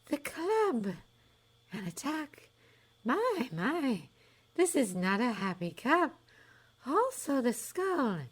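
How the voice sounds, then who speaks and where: A woman voicing a dramatic, eccentric older female seer with a slow, breathy, mystical delivery. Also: sits high, sing-song, pitched above the performer's own voice, exaggerated voice.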